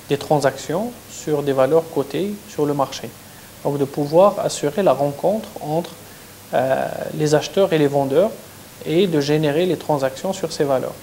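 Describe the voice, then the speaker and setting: A stock exchange official, a man, explaining in a measured, precise way with brief hesitations. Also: on the high side, a little mellow, a little hiss or hum. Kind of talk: formal interview.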